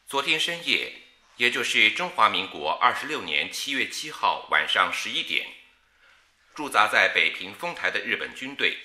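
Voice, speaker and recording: Forceful wartime radio news announcer. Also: male voice, mid-range voice. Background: music.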